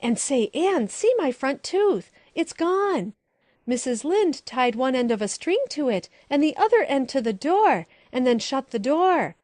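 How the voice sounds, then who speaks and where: A woman voicing an excitable, mischievous little boy who chatters eagerly in an audiobook. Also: high voice, measured pace, no echo, clean studio sound, voice pushed up. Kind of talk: audiobook character voice.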